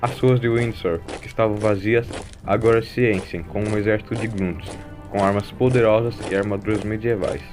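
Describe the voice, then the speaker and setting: A man's voice: fairly low pitch, steady tone, moderate pace. A man reading scripted narration for an audio drama in a storytelling style. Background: faint music.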